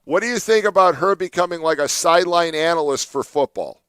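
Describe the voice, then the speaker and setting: A man's voice: on the high side, brisk, a bit bright, smooth, dry recording, studio quality. A man hosting a morning radio show, chatting casually and laughing often with his co-host. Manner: chatty, laughs often, does playful mock voices. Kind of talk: casual studio banter. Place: radio studio.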